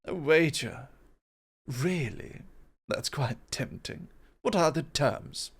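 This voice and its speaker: A man voicing a proud, composed god of creation in an ASMR roleplay, speaking in a measured, formal, self-assured way. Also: on the high side, animated, creaky, husky, heavily acted. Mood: intrigued, assured.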